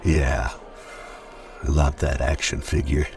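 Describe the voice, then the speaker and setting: A menacing radio-drama mercenary with a cybernetic edge. The voice is extremely deep and full of gravel, mocking and at ease, a little off the mic with music underneath. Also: male voice, level tone, recorded from a distance, exaggerated voice. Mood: coolly taunting. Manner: unhurried, mocking, casually threatening. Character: cool, menacing cigar-smoking cyborg mercenary man.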